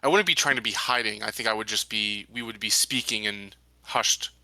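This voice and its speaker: Very bright, forceful low-voiced man. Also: fairly quick, no echo, rough recording. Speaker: tabletop game player. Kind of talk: in-game roleplay discussion.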